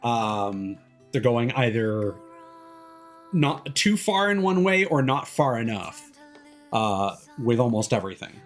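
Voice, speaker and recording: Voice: mid-range voice, sing-song, slow pace. Rambling game streamer over background music. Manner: rambling, analytical, trailing off mid-thought. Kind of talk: casual livestream commentary while gaming.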